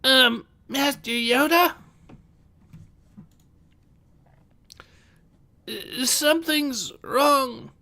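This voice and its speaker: An earnest young pupil acted by a woman answers the teacher in a comic read-aloud. The voice is high and bright, with slow, careful, deferential phrasing. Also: gravelly, heavily acted. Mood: uneasy. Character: earnest young student, polite, anxious, a child.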